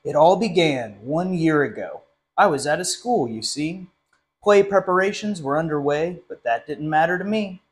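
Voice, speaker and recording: A young man reading a children's picture book aloud in an animated, friendly way, as at storytime in a small classroom. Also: fairly high pitch, moderate pace. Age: young adult. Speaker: literacy council director reading a story.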